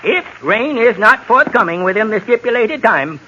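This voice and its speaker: A fussy small-town lawyer from a radio western. His voice sits high and keen, and he talks in formal, legalistic phrases. The vintage recording is muffled with some noise. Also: very animated, normal speed, pushed and bright, full character voice. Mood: officious. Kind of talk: old-time radio drama acting.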